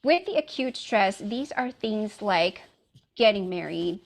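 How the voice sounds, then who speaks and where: A woman's voice: medium pitch, animated, measured pace, gravelly. A middle-aged woman from the Philippines giving a casual presentation with slides, with lots of "um" fillers. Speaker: wellness speaker.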